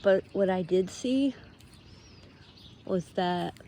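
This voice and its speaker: A woman giving an unscripted, reflective monologue while out walking in nature, thinking aloud in halting, drawn-out phrases. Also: medium pitch, mellow, a little hiss or hum. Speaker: host of a personal walking monologue. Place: outdoors on a walk in nature.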